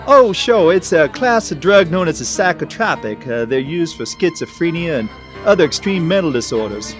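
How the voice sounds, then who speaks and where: An actor playing a folksy, self-deprecating, smitten analyst in an audio drama, a little flustered. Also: male voice, on the high side, big pitch swings, normal speed, soft background music. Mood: uneasy, explaining.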